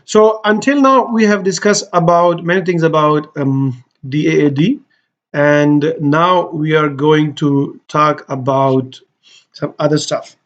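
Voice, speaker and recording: A man's voice: on the high side, steady tone, unhurried. Man talking through a lo-fi screen tutorial. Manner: loose and conversational, with many fillers and restarts. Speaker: host giving application guidance. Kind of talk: screen-share walkthrough tutorial.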